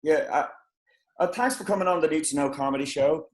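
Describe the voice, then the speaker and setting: A man's voice: on the high side, brisk, echoey room. A man hosting a comedy interview show, asking questions in a stumbling way with many fillers and restarts. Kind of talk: casual interview.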